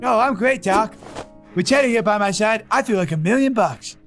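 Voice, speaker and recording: A very high, whiny cartoon crewmate, self-absorbed and theatrical. He rambles like a storyteller, with faint music behind him. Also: animated, normal speed, a bit bright. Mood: earnest, worried. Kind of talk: cartoon voice acting.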